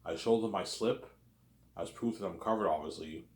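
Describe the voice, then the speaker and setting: A man's voice: deep voice, normal speed, darkish tone. A man in his thirties talking in a rambling personal monologue, repeating himself and tagging on filler words. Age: 30s. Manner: repetitive, keeps adding "obviously" and "man". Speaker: vlogger. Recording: roomy echo.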